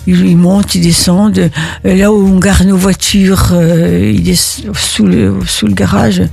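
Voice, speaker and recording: Older woman, from Italy, husky voice, gravelly edge, halting, restarts, interview. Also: medium pitch, flat delivery, darkish tone, faint music behind. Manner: halting, with many restarts and fillers. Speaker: interviewee, neighborhood volunteer. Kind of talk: interview answers.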